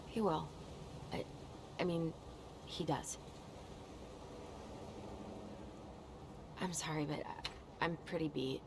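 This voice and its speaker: A woman's voice: low-pitched, measured pace, noisy background. A woman playing an anxious, weary young American woman in a video game, speaking in a hesitant, subdued way. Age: young adult. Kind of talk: naturalistic video game dialogue.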